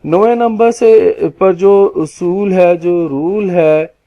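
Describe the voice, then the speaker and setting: A man with a Pakistani accent explaining legal points as a lawyer, in a formal, deliberate lecture style that sometimes repeats phrases. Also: high voice, warm tone, muffled recording. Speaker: lawyer giving legal lessons.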